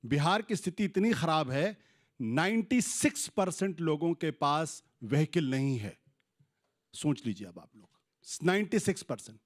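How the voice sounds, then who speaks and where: A man with an Indian accent and a sore throat making a measured, rhetorical case in a political debate. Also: a little high, animated. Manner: measured, rhetorical, citing figures. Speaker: debate panelist. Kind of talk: argumentative political debate speech.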